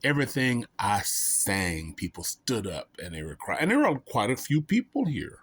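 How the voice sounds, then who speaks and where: A man's voice: mid-range voice, wildly swooping pitch, normal speed, fairly bright tone, slightly rough, dry recording. A man vlogging in a casual, comedic storytelling style, rambling and folksy, often making fun of himself. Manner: rambling, self-mocking, folksy.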